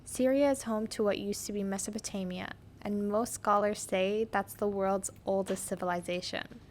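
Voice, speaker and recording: A woman's voice: medium pitch, steady tone, normal speed, slight background noise. A young woman hosting a podcast, telling her story in a reflective, scripted narration. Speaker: podcast host.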